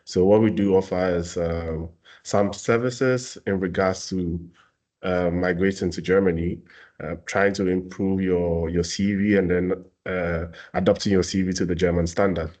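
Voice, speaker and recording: A young man from Ghana presenting services in a webinar, speaking casually with many fillers and pauses. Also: low voice, steady tone, a little mellow.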